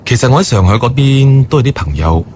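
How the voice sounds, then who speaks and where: An actor playing an earnest, deferential young manager and boyfriend in a radio drama, polite and measured. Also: male voice, medium pitch, animated, a little mellow, a little hiss or hum, close to an everyday voice. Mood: reassuring. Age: young adult. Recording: studio radio drama, music bed.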